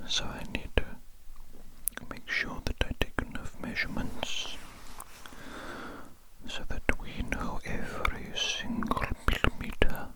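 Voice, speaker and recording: A performer voicing a curious, blunt alien doctor in an ASMR roleplay, in a soothing breathy whisper with repeated phrases. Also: unhurried, long pauses, close to an everyday voice. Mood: soothing, clinical.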